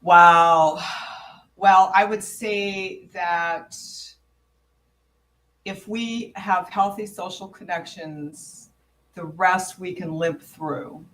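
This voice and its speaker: Slow-spoken, low-voiced woman host. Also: fairly even intonation, fairly bright tone, rough recording. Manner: slow, reflective, thinks aloud. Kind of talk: conversational interview.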